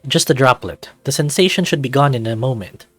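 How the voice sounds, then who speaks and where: A man's voice: medium pitch, animated, a little fast, a bit bright, dry recording. A man voicing a young male panther student, defensive, matter-of-fact and chiding, in visual novel dialogue. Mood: irritated, chiding. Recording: background music under the voice.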